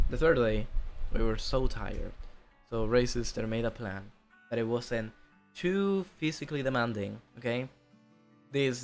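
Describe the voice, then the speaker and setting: A young man with a slight Spanish accent, chatting casually as a streamer about a trip, rambling, correcting himself and swearing now and then. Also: mid-range voice. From Spain.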